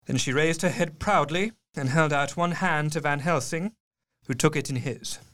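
An actor playing a sober, compassionate gentleman doctor in dramatic scripted narration. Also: on the high side, normal speed, close to an everyday voice. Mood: solemn, sympathetic. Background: music.

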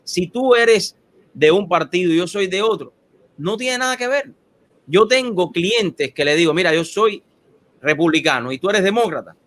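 Animated male interview host, higher voice. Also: moderate pace. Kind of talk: interview conversation.

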